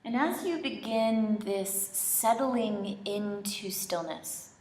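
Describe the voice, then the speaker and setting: Female meditation instructor, very slow and gentle delivery, long pauses, echoey studio. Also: medium pitch. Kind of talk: slow guided relaxation meditation, soothing instruction. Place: indoor studio, leading a group in person. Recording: roomy, echoing studio sound.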